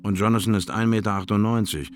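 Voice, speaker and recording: Ein älterer Schauspieler spielt einen Großvater, der in einem Hörspielmonolog gefasst von der Flucht seiner Familie vor einer Flut erzählt. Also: male voice, lowish voice, fast talker, faint music behind, close to an everyday voice. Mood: sober recounting. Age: older. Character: grandfather Robert steadily recounting his family's flood escape. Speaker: radio drama actor. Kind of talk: dramatic first-person survivor monologue.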